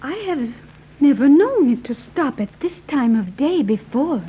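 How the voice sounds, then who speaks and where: An actress playing an earnest young island guide in a 1930s radio serial, speaking in precise, formal diction. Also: female voice, high-pitched, big pitch swings, unhurried. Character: earnest young island woman guiding captive visitors. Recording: tinny, noisy old 1930s radio recording.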